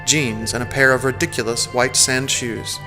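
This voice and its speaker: A man's voice: medium pitch, level tone, moderate pace, over music. A man narrating a scripted audio drama in the present tense.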